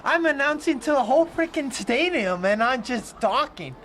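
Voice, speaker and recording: A woman doing loud, joking play-by-play commentary for a livestream from the press box of an outdoor stadium. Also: high voice, expressive, normal speed, a little hiss or hum. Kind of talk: live sports commentary.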